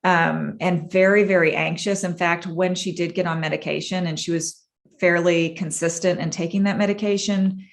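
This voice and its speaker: A woman from Massachusetts, a clinician, speaking as an interview guest in a reflective, earnest and measured way. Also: fairly low pitch, fairly even intonation. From Massachusetts, USA. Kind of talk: personal storytelling in an interview.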